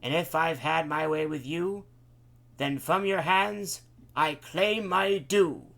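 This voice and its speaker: A man's voice: a little high, slow, vocal fry, a bit bright, voice pushed up, heavily acted. A man doing a grand, flourishing pantomime cat. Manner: grand, theatrical rhyming verse.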